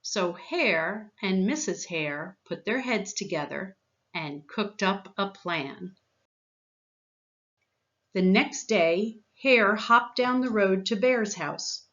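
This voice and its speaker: A woman's voice: mid-range voice, fairly bright tone, highs cut off. A woman reading a children's storybook aloud as narrator. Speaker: story reader.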